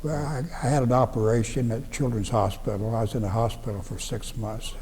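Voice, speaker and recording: A man in his nineties from Ohio, recalling his youth in a slow, halting way with many restarts. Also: mid-range voice, steady tone, a little mellow, in a large hall, a little hiss or hum. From Ohio, USA. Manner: halting, plain-spoken, frequent restarts and fillers. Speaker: veteran interviewee. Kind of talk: oral history recollection. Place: library room.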